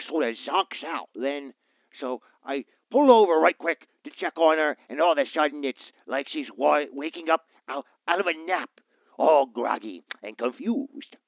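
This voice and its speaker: An actor playing a worried, earnest father calling a radio show, speaking haltingly over a phone line. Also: on the high side, dry recording. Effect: phone line filter. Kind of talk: audio drama phone-in acting. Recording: tinny, narrow phone-like line.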